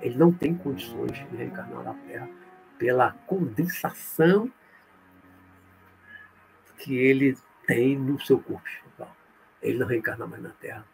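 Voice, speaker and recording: A man from Olinda, explaining spiritual ideas in a live talk and answering viewer comments, often checking in with a quick "right?". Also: medium pitch, creaky, darkish tone, soft background music, rough recording. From Brazil, born in Olinda, Pernambuco. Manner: explanatory, tag questions, reads comments aloud then answers.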